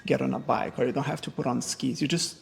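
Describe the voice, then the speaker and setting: A man from Rio de Janeiro, relaxed as an interview guest, filling pauses with "um". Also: mid-range voice, gravelly, big-room sound. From Brazil, Rio de Janeiro. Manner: relaxed, finishes his partner's sentences, many "um"s. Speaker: guest, father. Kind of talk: casual interview conversation.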